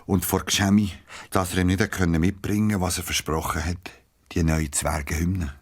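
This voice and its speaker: A man's voice: sits low, little pitch movement, moderate pace. A man with a Swiss German accent narrating a scripted children's story as its storyteller. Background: music.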